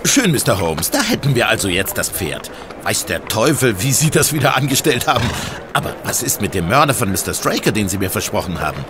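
An actor playing a skeptical, impatient police inspector in a German radio play, speaking in a blunt, challenging way. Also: male voice, fairly low pitch, big pitch swings, fairly quick, music in the background. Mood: impatient, incredulous. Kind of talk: German audio drama acting.

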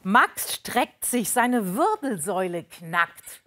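A woman reading a story aloud as expressive narration in a TV studio. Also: medium pitch, slow.

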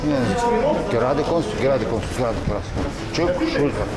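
A man's voice: mid-range voice, huge pitch swings, moderate pace, gravelly. A middle-aged man coaching pad drills, calling short counts and commands in a large indoor boxing gym, picked up by a camera at a distance. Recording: gym noise with loud thuds.

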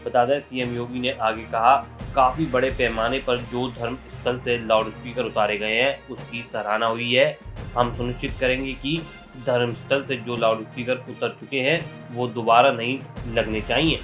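A man's voice: mid-range voice, little pitch movement, normal speed, fairly bright tone. Male news narrator over background music. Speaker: news presenter.

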